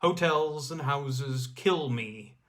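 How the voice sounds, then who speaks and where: Man voicing an alluring, teasing young snow spirit woman. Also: drawn-out words. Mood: teasing, coaxing. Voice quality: low, whispering, soft.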